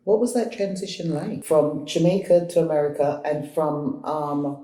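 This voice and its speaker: A man's voice: on the high side, normal speed, dark tone. Attentive male interviewer in echoey room. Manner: attentive, frequent "mm-hmm" backchannels. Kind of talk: interview questions.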